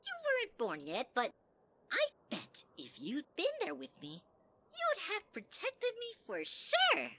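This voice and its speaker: A woman's voice: huge pitch swings, a little slow, gravelly, bright, forceful tone, slight background noise, exaggerated voice. A woman voicing a sweet-talking, sinister male flower in a fan audio drama, syrupy and teasing. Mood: sugary. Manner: syrupy, teasing, swings high and low.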